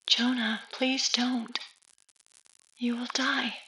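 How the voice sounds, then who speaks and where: A woman's voice: a little high, level tone, very slow, sharp tone, husky, no echo, full character voice. A woman playing a devoted, grave female synthetic human in a sci-fi audio drama, speaking in an earnest, solemn manner. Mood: anxious, pleading.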